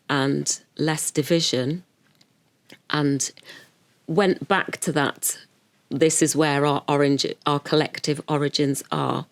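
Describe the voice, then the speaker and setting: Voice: medium pitch, no echo. An educator speaking reflectively and earnestly, repeating words for emphasis. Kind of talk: reflective interview talk.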